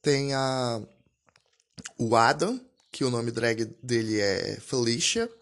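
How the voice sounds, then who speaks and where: A man's voice: mid-range voice, slow, fairly bright tone. A young man hosting a casual solo podcast, chatting loosely about a film with frequent asides, restarts and small laughs at himself. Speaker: podcast host.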